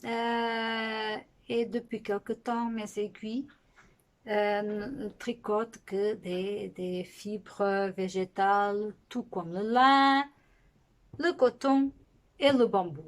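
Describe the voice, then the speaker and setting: Portuguese-accented woman hosting a knitting podcast, slow and easygoing, with frequent restarts. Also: mid-range voice, steady tone. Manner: unhurried, with frequent pauses and restarts. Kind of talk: casual spoken podcast monologue.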